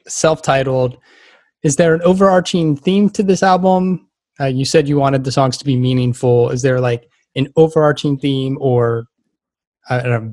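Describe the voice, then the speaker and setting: A man's voice: mid-range voice, dry recording. A man hosting a podcast, asking interview questions in a casual conversational way.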